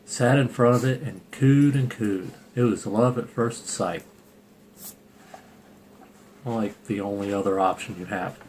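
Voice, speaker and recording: A man's voice: lowish voice, unhurried, pauses often, a little mellow. A man reading a children's picture book aloud informally, with irreverent, sarcastic asides. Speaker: storybook reader and channel host. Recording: clearly noisy home recording.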